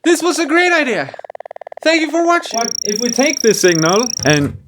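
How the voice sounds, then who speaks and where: A man's voice: very high-pitched, very animated, normal speed, noisy background. A man hosting a casual experimental music vlog, thinking aloud in a playful, exclamatory way. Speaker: video host and musician experimenting with audio gear.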